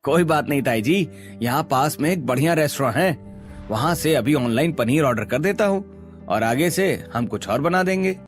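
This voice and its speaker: A man's voice: a little high, expressive, normal speed, faint music behind, natural voice. A man voicing a protective young husband in a cartoon, helpful and reassuring. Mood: caring, reassuring. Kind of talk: Hindi cartoon dialogue.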